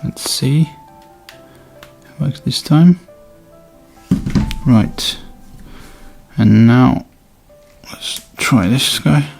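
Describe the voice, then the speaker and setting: A man's voice: medium pitch, extremely slow, gravelly, long pauses, a little mellow, faint music behind. A man doing a casual, hands-on product review, thinking aloud informally as he demonstrates. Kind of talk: casual unboxing and review commentary.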